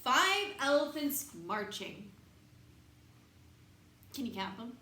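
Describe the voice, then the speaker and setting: A woman reading a picture book aloud to young children in an expressive, sing-song way, pausing to put questions to them. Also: high voice. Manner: expressive, dramatic emphasis, sing-song counting and repetition. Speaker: children's storybook reader. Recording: roomy echo, faint background noise.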